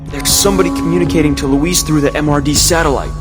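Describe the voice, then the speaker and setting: An actor playing a cocky, determined young superhero in a video game, casual and wry. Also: male voice, a little high, steady tone, fast, music in the background, natural voice. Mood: businesslike. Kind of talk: video game cutscene acting.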